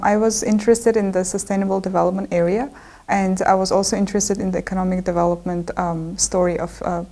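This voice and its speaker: A woman's voice: mid-range voice, dark tone. A woman from Central Asia, a graduate student, describing her courses hesitantly with many fillers. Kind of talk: conversational program overview.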